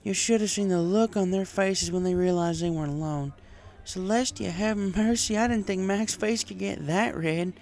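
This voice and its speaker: A man's voice: high voice, normal speed, darkish tone, voice pushed up. A man voicing a heartbroken young female cartoon pony from a farm, plain-spoken and folksy, in a storybook reading. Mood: tipsy, amused.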